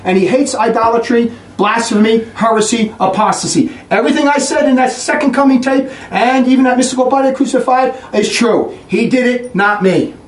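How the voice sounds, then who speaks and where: High-voiced guy preaching fast and blunt, mic far off in an echoey room. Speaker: religious preacher. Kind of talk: impassioned religious talk to a group. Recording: echoey room, distant mic, faint noise.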